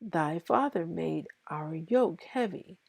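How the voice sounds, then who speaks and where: A woman reading scripture aloud in a deliberate, halting way, phrase by phrase. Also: on the low side, expressive, creaky, a little mellow, no echo. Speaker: scripture reader.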